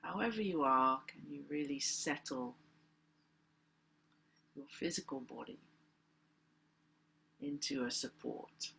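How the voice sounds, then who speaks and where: A woman leading a guided meditation as a teacher, speaking in gentle, deliberate phrases with long pauses. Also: very deep voice, expressive, gravelly, slight background noise, poor audio. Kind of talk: guided meditation, unscripted spoken instruction.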